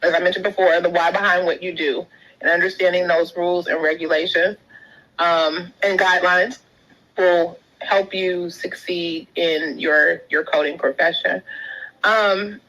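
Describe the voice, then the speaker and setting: A woman hosting a live audio chat, relaxed and encouraging, laughing easily as she invites listeners in. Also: lowish voice, unhurried, highs cut off. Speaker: host.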